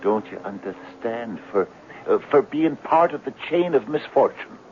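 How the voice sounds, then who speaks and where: An actor playing an earnest, superstitious friend in a 1970s radio drama, arguing insistently that everything is fate. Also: male voice, medium pitch, a little slow. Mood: persuasive. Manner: insistent, persuasive, animated. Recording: muffled, hissy 1970s radio broadcast recording.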